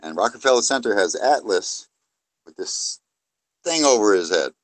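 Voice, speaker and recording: A low-pitched man talks in a halting, rambling way, full of fillers and restarts. The sound is dry and close, with no room to it. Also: lively intonation, no echo. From New York City, USA. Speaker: guest. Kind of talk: casual live-stream discussion.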